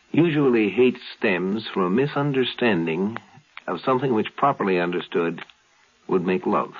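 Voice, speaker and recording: A man hosting a 1950s science fiction radio series, explaining ideas in a deliberate, philosophical way. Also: mid-range voice, husky. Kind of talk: reflective spoken introduction, 1950s radio. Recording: thin, phone-like vintage radio recording.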